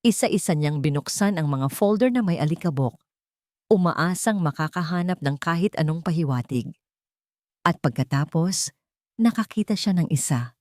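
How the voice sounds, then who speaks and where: A woman's voice: lowish voice, expressive, moderate pace, darkish tone, no echo, studio quality. A woman reading a scripted romantic drama as a story narrator.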